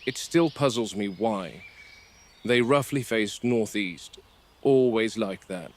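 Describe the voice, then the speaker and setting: A man's voice: on the low side, takes it slowly. A man playing an irate, seasoned dinosaur park game warden in a found-footage horror drama, blunt and exacting. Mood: calm, observant. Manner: blunt, indignant, exacting field-report delivery. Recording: noisy with ambient background sound.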